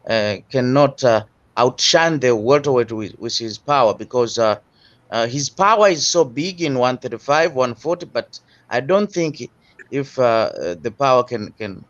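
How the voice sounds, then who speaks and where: A man from Tanzania, talking boxing as a guest in a halting way, full of fillers and restarts, over a remote call. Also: mid-range voice, animated. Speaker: guest panelist. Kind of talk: casual boxing discussion. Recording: dull lo-fi call audio.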